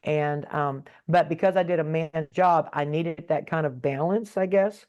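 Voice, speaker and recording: A woman talks slowly through her story in a casual interview, often stalling and repeating herself. Her voice comes over a video call with dull, muffled, lo-fi sound. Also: low-pitched, a little mellow. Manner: halting, repeats words, many fillers. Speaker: spiritual guest.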